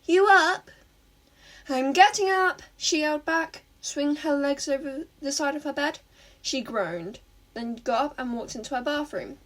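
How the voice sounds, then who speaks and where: A woman's voice: high-pitched, normal speed. A woman reading fan fiction aloud as a scripted story narration.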